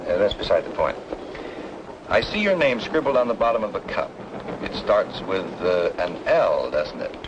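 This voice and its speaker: An actor playing a charming, smooth-talking man with a playful, teasing, theatrical manner in an old radio noir drama. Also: deep voice, very animated, moderate pace, muffled recording, background noise. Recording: vintage radio drama, music behind.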